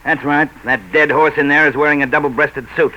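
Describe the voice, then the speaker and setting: An actor playing a gruff, sarcastic, needling homicide detective in a 1940s radio crime drama. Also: male voice, on the high side, steady tone, fairly quick, natural voice. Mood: dry, accusing. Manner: needling, dry, tough. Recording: dull, noisy vintage radio recording.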